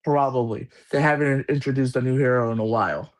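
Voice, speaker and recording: A man in casual chat as co-host, talking in halting rambles with many restarts. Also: mid-range voice.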